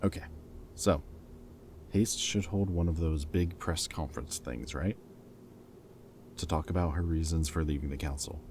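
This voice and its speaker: Very deep-voiced wry ex-villain played by a man. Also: a little mellow, slight background noise. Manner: casual, wry, teasing banter with sighs and chuckles. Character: teasing, caring male ex-villain planning with old hero friend. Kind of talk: intimate roleplay audio drama, conversational.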